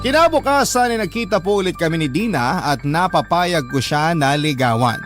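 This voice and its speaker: An earnest, lovelorn young man, voiced by a male actor tells his story as scripted radio drama narration. His voice is slightly high, polite and confiding. Music plays clearly behind him. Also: lively intonation, moderate pace, natural voice. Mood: happy, confiding.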